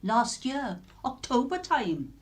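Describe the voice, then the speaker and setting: Actress voicing nosy working-class Englishwoman, animated intonation, colloquial chatter, putting on airs, radio drama. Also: a little high, slow pace. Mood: grave. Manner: gossipy, colloquial, affected politeness.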